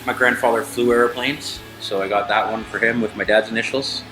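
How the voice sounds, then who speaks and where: A man's voice: medium pitch, steady tone, fairly quick, music in the background, rough recording. A man who works as a barber, telling an interviewer about his tattoos in a plain, easygoing way. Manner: plain, listing one item after another. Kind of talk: casual interview talk.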